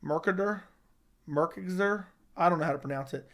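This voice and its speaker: A slightly hoarse young man hosting a casual live chat, answering viewers with blunt opinions. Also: on the high side, normal speed, a bit bright. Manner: opinionated, talks straight to chat. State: slightly hoarse, losing his voice. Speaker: livestream host answering chat.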